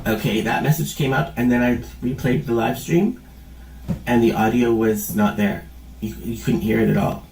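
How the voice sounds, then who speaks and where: A man's voice: medium pitch, steady tone, normal speed, gravelly, a little mellow, slight background noise. A man hosting his own channel, ranting casually and indignantly, swearing and repeating himself. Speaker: channel host. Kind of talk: angry casual rant to camera. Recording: roomy sound from a distant mic.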